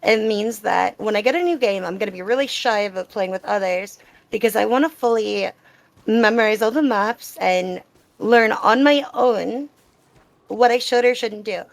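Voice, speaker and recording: Creaky-voiced woman gamer in a live Q&A. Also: medium pitch, moderate pace, dry recording. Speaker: gamer being interviewed. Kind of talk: live Q&A, casual personal talk.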